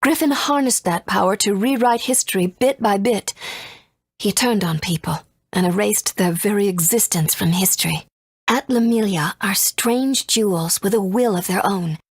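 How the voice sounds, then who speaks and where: Husky, solemn young mother. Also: mid-range voice, dry recording, studio quality. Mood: solemn, explanatory. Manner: measured, earnest, explanatory. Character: gentle, solemn mother from the future. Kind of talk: scripted game exposition.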